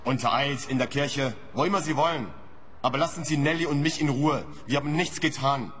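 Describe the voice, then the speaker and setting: A man's voice: fairly high pitch, fairly quick, fairly bright tone, natural voice. An actor in a radio play playing a defensive, irritated man who feels hounded, guarded and insistent. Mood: indignant. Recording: long reverberant space, faint noise.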